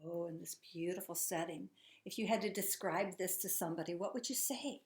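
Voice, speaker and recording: A woman's voice: fairly low pitch, fairly quick. A woman teacher reading a children's storybook aloud, encouraging and putting questions to her young listeners. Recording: echoey room.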